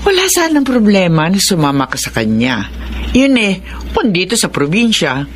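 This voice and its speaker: An actress playing a gentle, worried middle-aged mother in a radio drama. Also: fairly low pitch, huge pitch swings, moderate pace, a little hiss or hum. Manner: soft, pleading.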